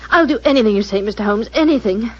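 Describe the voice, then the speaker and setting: A woman's voice: fairly high pitch, lively intonation, fast. An actress playing an anxious young woman seeking help, eager and a little breathless, in an old 1940s radio drama recording. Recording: muffled 1940s radio broadcast.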